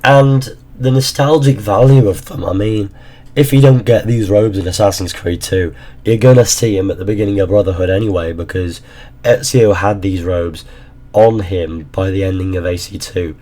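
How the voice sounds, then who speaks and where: A low, mellow male voice, worn hoarse as he loses his voice. He rambles casually and drops the odd swear word. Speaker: gaming YouTuber. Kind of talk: casual ranking commentary.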